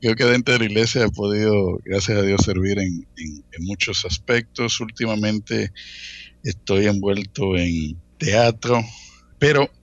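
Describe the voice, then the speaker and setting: A man in his late thirties with a Dominican accent, telling his own story casually as an interview guest, often pausing and repeating words. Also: lowish voice, no echo, muffled recording. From Dominican Republic. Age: late 30s. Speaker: interview guest, church ministry worker.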